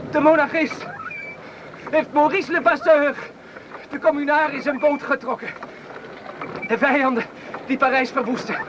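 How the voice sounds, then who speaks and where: Feverish wounded young revolutionary, high-voiced. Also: male voice. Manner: halting, theatrical, breaking into feverish declamation. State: wounded, exhausted and in pain. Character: wounded young male Communard, feverish, exalted and weary. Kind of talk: Dutch radio play acting. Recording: noisy old radio play recording.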